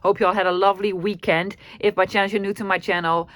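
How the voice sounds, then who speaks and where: Middle-aged Dutch-accented woman, friendly and self-deprecating, talking casually at her craft desk, dry close recording, mid-pitched voice. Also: fairly even intonation, fast talker, fairly bright tone, no echo. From Netherlands. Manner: friendly, self-deprecating, laughs often, many ums. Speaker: craft channel host. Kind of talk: casual chatty craft vlog.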